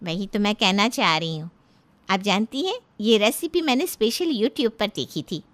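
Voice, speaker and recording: A woman's voice: medium pitch, expressive, moderate pace, natural voice. A woman voicing a middle-aged mother in a cartoon story, a flustered and scolding hostess. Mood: flustered, placating.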